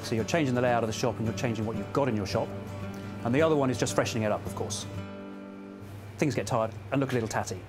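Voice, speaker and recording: A man's voice: medium pitch, fast. A man talking informally in an interview as a shopkeeper. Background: guitar music.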